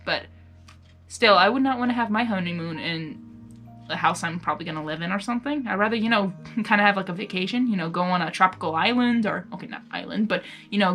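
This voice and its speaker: A woman doing a casual read-aloud with commentary, expressive and often breaking off into exclaiming asides. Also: medium pitch. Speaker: reader of fan fiction, reacting as she goes.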